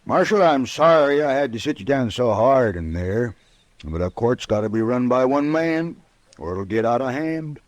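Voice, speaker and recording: A man's voice: medium pitch, animated, normal speed, no echo, natural voice. A man playing a brusque, self-satisfied, hard-drinking judge in a radio western, folksy yet pompous and peremptory. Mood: smug, genial. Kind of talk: 1940s-50s radio western acting.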